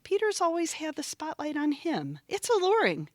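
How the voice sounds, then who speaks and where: A woman's voice: high-pitched, wildly swooping pitch, moderate pace, no echo, clean studio sound. A woman acting in an audio play as a prim, resentful older sister with a cutting, disapproving manner. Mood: wounded, bitter.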